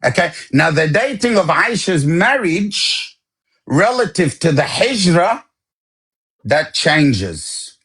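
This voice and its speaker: A man's voice: on the high side, sing-song, takes it slowly, a bit bright, husky. A man in a heated live debate, insistent and talking over others as he repeatedly demands sources. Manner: talks over others, interrupts.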